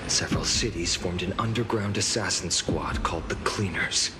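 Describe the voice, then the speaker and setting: An actor playing an earnest, guarded young nobleman hero in a video game, speaking in a measured, serious way. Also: low voice, heavy background noise, close to an everyday voice. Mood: grave, wary.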